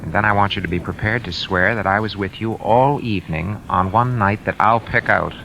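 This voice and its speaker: A man's voice: lowish voice, fairly quick, creaky, noisy background. An American man playing a scheming, coldly controlled husband in a 1940s radio suspense drama, terse and domineering. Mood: stern, pressing. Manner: terse, hard-boiled, domineering. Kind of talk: 1940s radio suspense acting, noir narration. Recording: vintage radio drama under loud music and rain.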